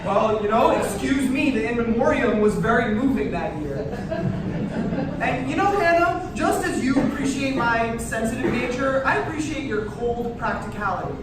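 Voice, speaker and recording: A woman's voice: on the high side, animated, moderate pace, close to an everyday voice. A woman playing a sensitive, sarcastic, jealous twenty-five-year-old party host in a staged play reading. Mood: wounded, sarcastic. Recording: echoey hall, distant noisy mic.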